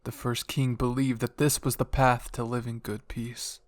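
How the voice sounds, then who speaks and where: Guy doing a pushy zealot royal dad, grand fervent speeches, mid pitched, anime fanfic drama, really dry audio. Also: little pitch movement, normal speed, no room sound, natural voice. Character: fanatical, pushy royal father. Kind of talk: dramatic fan fiction roleplay acting.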